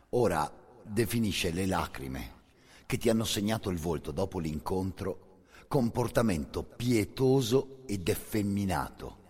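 A man delivers dramatic stage narration, grave and deliberate. His slow phrasing rises and falls widely, and his voice is somewhat husky. It carries a long hall reverb. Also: low voice. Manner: grave, measured, deliberate pauses. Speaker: actor-narrator. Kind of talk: dramatic theatrical narration, addressed in second person.

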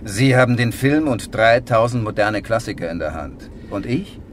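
An actor playing a brooding, self-doubting male novelist in a German radio drama, speaking in a measured, literary way. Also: on the low side, a little hiss or hum, lightly acted. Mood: subdued, pleading.

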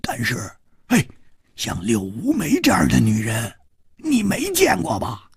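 Cast: man playing a rowdy jovial swordsman, gravelly, big swings in pitch. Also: huge pitch swings, unhurried, no echo, heavily acted. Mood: enthusing. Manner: brash and teasing, dragging out words. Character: bold, jovial male swordsman, teasing.

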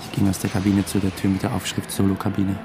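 A man's voice: deep voice, completely flat delivery, fast, vocal fry, over music. An actor playing a brooding, weary man who narrates his own story in a radio drama, subdued and sometimes hesitant. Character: brooding, weary male first-person narrator under suspicion.

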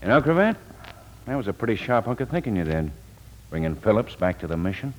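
An American actor playing a wry, folksy but commanding police lieutenant in a 1940s radio noir drama. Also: male voice, lowish voice, very animated, fast, a little mellow, low-quality recording.

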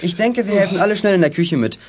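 Fast-talking, earnest teenage boy. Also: high voice. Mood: polite, earnest. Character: polite, keen teenage boy detective. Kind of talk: 1980s children's radio play acting.

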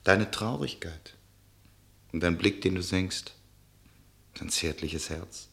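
A traveller deeply in love in an old-style radio drama. His voice is low, and he speaks with solemn, intimate devotion, like someone resigned to fate. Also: slow, creaky, a bit bright, full character voice. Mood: tender, fervent. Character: male traveller, devoted, fatalistic lover. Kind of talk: classic German radio play acting.

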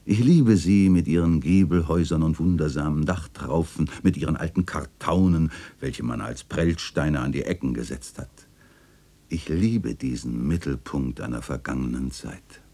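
Very deep, husky old chronicler. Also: male voice, mellow. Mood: fond, nostalgic. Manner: measured, lyrical, contemplative delivery. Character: wistful old male chronicler reminiscing about his beloved alley. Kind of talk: literary radio play narration.

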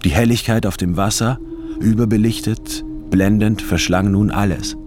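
An actor playing a disoriented, brooding man who narrates a literary radio drama in an introspective, measured way. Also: fairly low pitch, steady tone, close to an everyday voice. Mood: brooding, reflective. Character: disoriented, brooding male first-person narrator adrift in a crowd. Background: prominent music.

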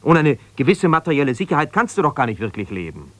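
An actor playing an embittered, ambitious lawyer husband who talks in an argumentative, colloquial way in a naturalistic radio play. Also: male voice, a little high, sing-song, fast. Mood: angry, frustrated. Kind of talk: naturalistic radio play, one side of a phone call.

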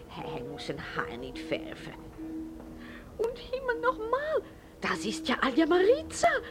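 High, swooping, gossipy German lady. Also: wildly swooping pitch, a little slow, loud music behind the voice, full character voice. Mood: gossipy delight. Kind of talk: radio drama acting.

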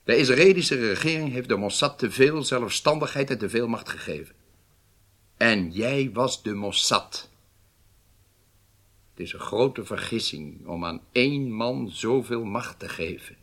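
A stern, measured government leader. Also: male voice, medium pitch, animated, fairly bright tone. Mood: grave, resigned. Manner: formal, measured, authoritative. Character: stern, weighty Israeli government leader rebuking his intelligence chief. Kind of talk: radio drama acting.

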